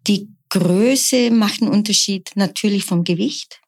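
A middle-aged woman, a nurse, explaining her practice in calm, measured interview answers. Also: mid-range voice. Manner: calm, measured, often slow and deliberate. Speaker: nurse and sound bowl practitioner.

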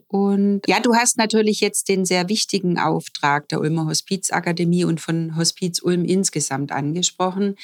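Lower-voiced woman hosting podcast. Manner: warm, formal address with frequent fillers. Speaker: managing director hosting the podcast. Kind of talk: friendly podcast interview.

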